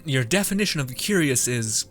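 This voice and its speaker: A man's voice: medium pitch, lively intonation, moderate pace, fairly bright tone, soft background music. A man streaming a game, giving casual, rambling commentary and reacting aloud as he plays.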